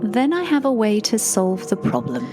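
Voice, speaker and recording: A woman's voice: mid-range voice, normal speed. Woman playing a wry, lilting female Devil. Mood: quietly threatening. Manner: deadpan, teasing, dismissive. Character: wry, unbothered female Lucifer, Devil ruling Hell. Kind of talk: audio drama acting. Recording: echoey room sound. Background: music.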